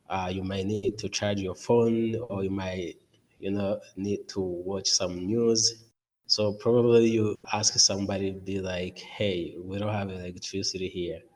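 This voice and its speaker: A man in his twenties from Rwanda, a podcast guest talking earnestly from his office over a video call, with many fillers and restarts. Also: low voice. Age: 20s. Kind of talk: podcast interview.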